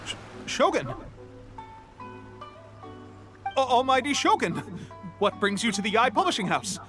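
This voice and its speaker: A man's voice: very high voice, big pitch swings, fairly quick, fairly bright tone, exaggerated voice. A man voicing a nervous, deferential bookshop clerk in a video game, stammering and apologetic. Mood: flustered. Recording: music behind the voice.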